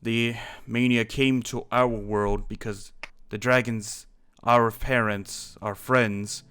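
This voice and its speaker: A man playing an earnest dragon slayer who rallies allies, speaking deliberately in an improvised fantasy game roleplay. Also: mid-range voice, fairly even intonation, a bit bright, smooth. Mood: grave, urgent.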